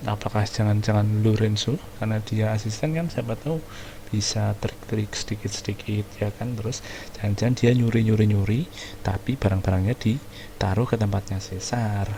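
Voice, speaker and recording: Gravelly Indonesian gaming streamer. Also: male voice, fairly low pitch, fairly quick, background noise. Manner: relaxed, musing aloud. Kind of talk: casual gameplay commentary.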